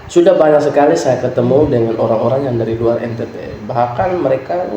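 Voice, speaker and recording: A man co-hosting a podcast, putting long questions with pauses and fillers. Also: medium pitch, mellow. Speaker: podcast co-host. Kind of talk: casual podcast chat. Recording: roomy, slightly dull sound with faint noise.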